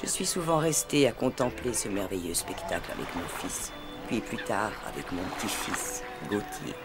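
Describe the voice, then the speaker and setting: A woman playing an elderly, gentle aristocratic grandmother in a cartoon, speaking in a refined, wistful way. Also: very deep voice, big pitch swings, moderate pace. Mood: wistful, sorrowful. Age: older. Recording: background music under dialogue.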